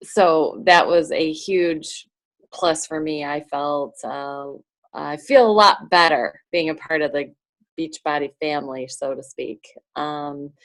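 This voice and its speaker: A woman sharing with her team on a video call, speaking softly and haltingly with many fillers. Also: low voice, dry recording.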